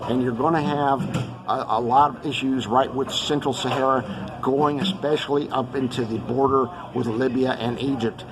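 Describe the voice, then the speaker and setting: A man's voice: medium pitch, steady tone, normal speed, a crowd in the background, rough recording. A man who grew up around Los Angeles gives a rambling monologue, listing one place after another with many fillers. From Los Angeles area, California. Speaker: host giving an earth-changes briefing.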